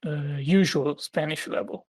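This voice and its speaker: A man's voice: on the high side, steady tone, measured pace, a little mellow, husky, no echo. Hesitant man, dry sound. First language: Italian. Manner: hesitant, full of "uh" fillers. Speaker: podcast guest. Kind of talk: casual chat.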